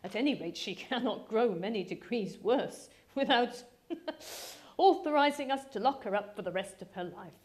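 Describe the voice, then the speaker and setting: A woman voicing an affectionate middle-aged gentleman father in a literary reading, with a dry, teasing, measured manner. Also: a little high, expressive, lightly acted. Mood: wry, placating.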